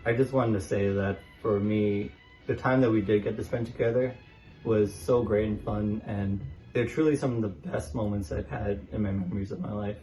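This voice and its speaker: A man's voice: lowish voice, steady tone, moderate pace, warm tone, soft background music, low-quality recording. A man speaking informally in a personal farewell tribute.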